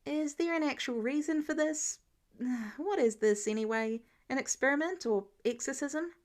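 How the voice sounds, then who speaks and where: A woman's voice: high voice, normal speed, natural voice. A woman voicing an earnest, cheeky, slightly awkward teenage boy intern in an audiobook-style story reading. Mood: exasperated.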